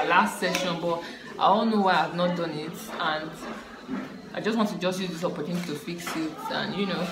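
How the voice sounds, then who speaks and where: A young woman, a student vlogger giving a relaxed, rambling life update to her laptop camera in a small room. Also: on the low side, noisy background. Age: young adult. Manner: relaxed, rambling, repeats herself with fillers. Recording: echoey room with music behind.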